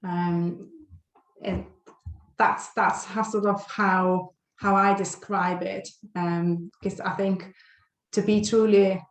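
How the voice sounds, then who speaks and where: A woman's voice: medium pitch, level tone, takes it slowly, echoey room, poor audio. A woman in her forties from Lithuania, a guest on a podcast interview, talking with many fillers and restarts. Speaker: guest, health and well-being coach.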